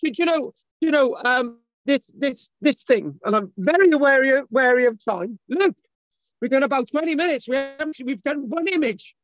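A man's voice: very high voice, expressive, normal speed, no room sound. Rambling man on a lo-fi video call. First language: English. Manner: rambling, many restarts and fillers, asks "right?". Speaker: host. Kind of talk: casual discussion on a video call. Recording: dull lo-fi video call audio.

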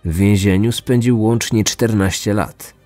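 A low male voice narrates a scripted true crime story. He is a podcast host in his thirties, and music plays behind him throughout. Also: measured pace, warm tone. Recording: music behind, some reverb.

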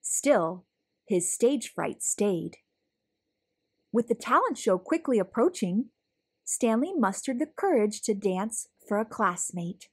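A woman reading a children's story aloud with expressive, deliberate phrasing. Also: medium pitch, husky. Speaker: storybook reader, host. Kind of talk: children's read-aloud narration.

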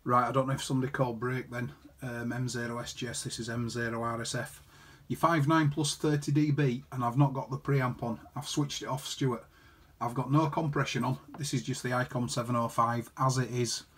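A man's voice: medium pitch, steady tone, fairly quick, husky. A man chatting casually as an amateur radio operator, reading out callsigns and figures. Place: indoor room beside a desktop transceiver.

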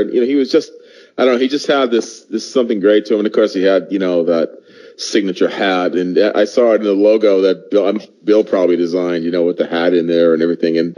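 A man's voice: lowish voice, dark tone, lo-fi sound. A middle-aged man with an American accent, reminiscing fondly in casual conversation as a podcast co-host. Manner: fond reminiscing. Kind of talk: casual chat.

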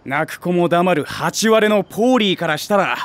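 An actor playing an ambitious, streetwise stray tomcat, a small-time gang big brother with brash, rough talk in a voice drama. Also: male voice, high-pitched, animated, normal speed. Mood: cocky, scheming. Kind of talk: Japanese voice drama acting.

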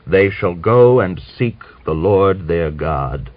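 A man voicing a pious, bitter old man of nearly a hundred, who scolds and preaches and reads scripture slowly and solemnly. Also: deep voice, expressive, no echo. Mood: solemn reading. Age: older. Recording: dull dry recording.

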